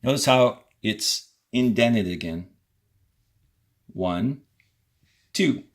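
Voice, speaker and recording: A man teaching children, reading a textbook aloud and explaining it step by step in short, deliberate directions. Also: medium pitch, expressive. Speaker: fourth-grade teacher. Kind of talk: instructional read-aloud of a textbook to pupils.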